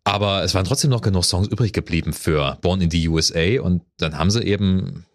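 A man hosting a music talk, explaining in a relaxed way and often agreeing. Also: low-pitched, quick pace. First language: German. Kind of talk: conversational discussion.

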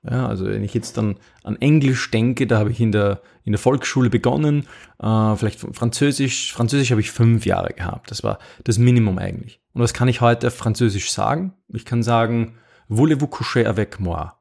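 A man's voice: mid-range voice, a little fast, gravelly, darkish tone. A middle-aged man with an Austrian accent hosting a podcast in a casual chatty way, full of fillers, restarts and rhetorical "ja?". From Upper Austria. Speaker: podcast host.

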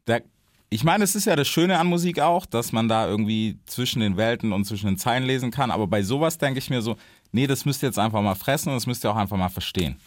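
A man's voice: medium pitch, fast talker, no echo, clean studio sound. A young man hosting a podcast interview, talking in a loose, laid-back way and dropping English slang words into his chat. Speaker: podcast host.

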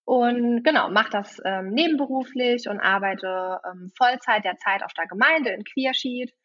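A young woman from talks through a cold in a relaxed, giggly way, filling her sentences with little pauses. Her voice sits a touch high comes through. The recording sounds muffled and lo-fi. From Germany, Saarland. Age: 20s. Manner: giggly, chatty, many fillers. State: has a cold. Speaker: guest, wedding officiant. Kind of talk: casual podcast interview.

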